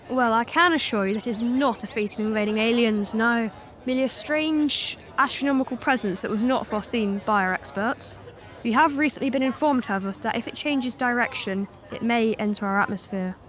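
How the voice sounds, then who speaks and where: A pompous prime minister, played by a young woman, offers measured official reassurance in a fairly high voice, in the style of a radio news drama. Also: close to an everyday voice. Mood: reassuring. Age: young adult. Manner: formal, measured official statements. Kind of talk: scripted news interview drama.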